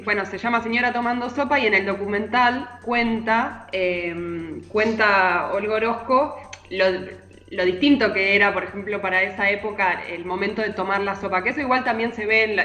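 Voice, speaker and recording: A bright female voice with a Rioplatense Argentine accent chats about books as a radio columnist. The sound is roomy and echoing, with faint music underneath. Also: medium pitch. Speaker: literary columnist on a radio show. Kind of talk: conversational book column.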